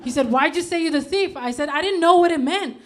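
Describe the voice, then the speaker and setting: A woman in her thirties from Denmark, performing a stand-up comedy set on a club stage, deadpan with punchy outbursts. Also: sits high, fast.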